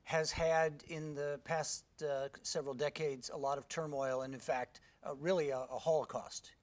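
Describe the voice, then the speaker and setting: A man's voice: a little high, flat delivery, moderate pace. Friendly man hosting a studio interview. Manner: friendly, smooth scripted introductions and short prompts. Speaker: TV show host.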